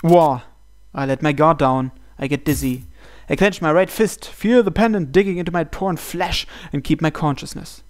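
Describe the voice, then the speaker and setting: A man's voice: a little high, normal speed, hardly any pauses. A man hosting a gaming video, reading visual novel narration aloud. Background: soft game music.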